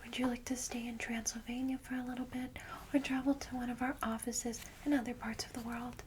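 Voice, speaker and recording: A woman voicing a friendly, reassuring vampire guide in a soft, breathy ASMR whisper. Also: fairly high pitch, flat delivery, fairly quick, a little hiss or hum, close to an everyday voice. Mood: caring, reassuring. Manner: gentle, reassuring, hesitant asides. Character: friendly female vampire guide, centuries-old, caring and reassuring. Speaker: ASMR roleplayer.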